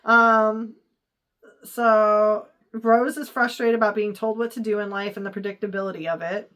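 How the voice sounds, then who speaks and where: A woman's voice: medium pitch, steady tone, moderate pace, fairly bright tone. Chatty woman recapping a movie. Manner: chatty, giggly, lots of "like" and "uh". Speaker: podcast co-host. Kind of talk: casual movie review chat, recapping plot.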